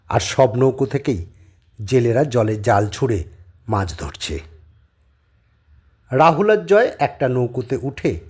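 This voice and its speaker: A man narrating a scripted dramatic story, with a husky voice. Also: mid-range voice, a little mellow.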